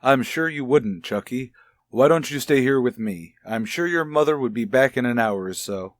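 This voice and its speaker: An actor playing a kindly child psychologist in a mid-century radio soap opera, speaking in a measured, coaxing way. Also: male voice, medium pitch, expressive, dry recording, natural voice. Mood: genial. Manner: measured, coaxing, gently probing. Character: kindly, probing male child psychologist, a father. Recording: vintage radio recording with music.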